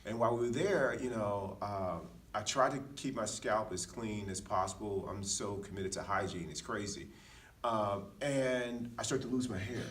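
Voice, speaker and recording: A man's voice: lowish voice, normal speed, a bit bright. Candid American man, slightly distant mic. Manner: candid, self-deprecating, peppers talk with "man" and "dude". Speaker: podcast speaker, former Marine. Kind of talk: casual personal storytelling.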